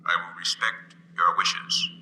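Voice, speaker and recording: A man's voice: unhurried, pushed and bright. A man playing a polite, emotionless alien captor with stilted formal phrasing in a 1950s radio drama. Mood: coldly courteous. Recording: old radio recording, faint hum.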